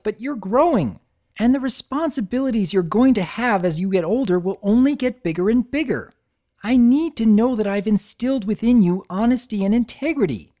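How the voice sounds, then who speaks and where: A woman's voice: mid-range voice, a little mellow, pitched above the performer's own voice, close to an everyday voice. A woman voicing a stern young female pony who lectures indignantly.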